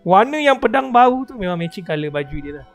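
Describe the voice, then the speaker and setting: A man's voice: high-pitched, sing-song, very fast, vocal fry. A Malaysian man chatting casually in slangy, code-switching live game commentary. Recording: game music behind the voice. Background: game music.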